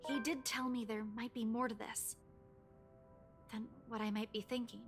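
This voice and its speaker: Actress as high-voiced, insistent young woman. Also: little pitch movement, moderate pace, soft background music, close to an everyday voice. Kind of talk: visual novel voice acting.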